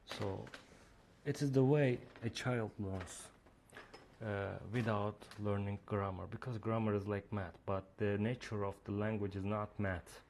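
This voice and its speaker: A man's voice: lowish voice, steady tone, a little slow, darkish tone, a little hiss or hum. A man with a Turkish accent, a native Turkish speaker, walking viewers through a reading app in a screen-recorded demo, speaking hesitantly with many fillers. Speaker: app creator demonstrating his reading app.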